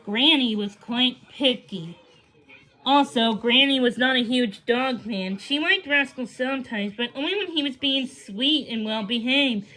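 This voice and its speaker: A woman's voice: sits high, measured pace, pushed and bright, low-quality recording. A woman reading a children's storybook aloud as a read-along, sometimes stumbling and repeating words. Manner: stumbles over words and rereads them. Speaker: storybook reader.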